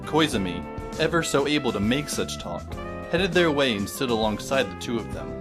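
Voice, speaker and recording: A man voices a wry teenage boy narrating an audio drama in a dry, deadpan way. His pitch sits in a middle range. Music plays clearly behind him. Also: normal speed, natural voice.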